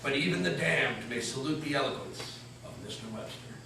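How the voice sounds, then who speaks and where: Guy doing bold dead major on stage, measured formal delivery, far from mic, big echo. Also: mid-range voice, lightly acted. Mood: dryly formal. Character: proud ghostly juror, bold dead army major. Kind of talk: dramatic stage reading. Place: old meeting house, live performance. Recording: very echoey hall, distant mic, clear noise.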